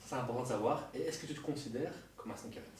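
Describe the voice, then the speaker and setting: A man's voice: medium pitch, very fast, darkish tone. An easygoing man hosting a casual interview, his questions slangy and a little rambling. He sits back from the mic, and the sneaker store gives him a strong, roomy echo over a faint hiss. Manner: easygoing, slangy, thinks aloud. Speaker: interviewer host. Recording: echoey store from far off.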